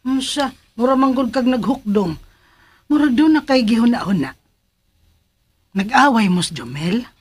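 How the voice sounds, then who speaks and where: Concerned aunt, Filipino accent, radio drama, husky high voice, gentle, coaxing. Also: female voice, animated, a little slow, pauses often. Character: concerned, kindly aunt looking after her niece. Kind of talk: Philippine radio drama acting.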